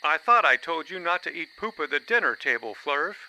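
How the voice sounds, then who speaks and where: A man's voice: fairly high pitch, very animated, fairly quick, bright, forceful tone, slight background noise, full character voice, rough recording. A man playing an exasperated sitcom character, scolding in an exaggerated way. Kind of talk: parody TV sitcom acting.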